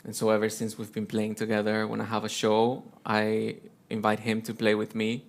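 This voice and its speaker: Low, gravelly Colombian man chatting. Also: normal speed. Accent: Colombian Spanish. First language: Spanish. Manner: relaxed, storytelling, with frequent fillers and laughs. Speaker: guest, teacher and violinist. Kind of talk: casual interview talk.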